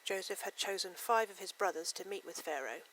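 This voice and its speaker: Low-pitched woman narrating a Bible story. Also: fairly quick, fairly bright tone, slight background noise, rough recording.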